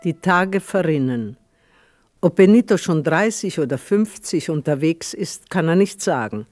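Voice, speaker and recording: A man narrating a radio play in a measured, literary manner. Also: on the high side, animated, a little mellow, soft background music.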